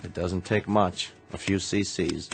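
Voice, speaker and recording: Grave, low-voiced American doctor. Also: male voice, fairly even intonation, gravelly, slight background noise. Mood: clinical, grave. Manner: measured, somber, terse. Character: grave, protective doctor, reluctant brother of the patient. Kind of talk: radio drama acting.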